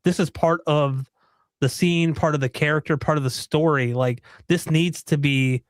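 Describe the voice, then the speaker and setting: Higher-voiced male podcast co-host, chatty, filler-heavy, dry sound. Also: fairly even intonation, moderate pace, dry recording. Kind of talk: casual chat about movies.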